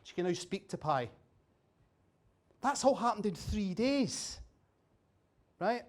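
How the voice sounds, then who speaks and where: A man's voice: high-pitched, very animated, takes it slowly. A Scottish man giving a humorous after-dinner talk, chatty and jokey, quizzing the audience. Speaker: keynote speaker. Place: dinner venue with a bar.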